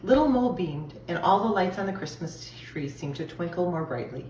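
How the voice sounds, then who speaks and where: A woman reading a children's story aloud in an expressive style, recorded in an echoey room. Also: fairly low pitch, a little fast. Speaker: storybook reader. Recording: echoey room, distant mic, faint noise.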